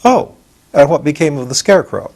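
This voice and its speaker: A man's voice: on the high side, expressive, brisk, darkish tone, voice pushed up. A man voicing a naive, jovial pumpkin-headed man who asks curious, confused questions as a storybook character. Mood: puzzled.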